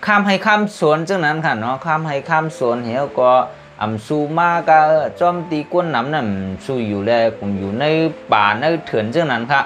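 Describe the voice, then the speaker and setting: A man's voice: mid-range voice, lively intonation, brisk, hardly any pauses, a bit bright, a little hiss or hum. Rambling male folk-tale storyteller. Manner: rambling, filler-heavy oral telling.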